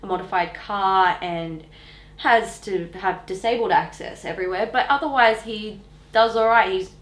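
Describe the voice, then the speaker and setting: A woman's voice: mid-range voice, normal speed, roomy sound. A woman in her twenties with an Australian accent, chatting casually and bluntly, with colloquial opinions. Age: 20s.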